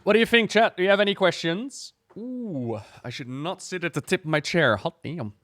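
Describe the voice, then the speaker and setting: A man giving casual reaction commentary as a game lore streamer, musing out loud in a conversational way. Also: high voice, moderate pace, no echo, clean studio sound.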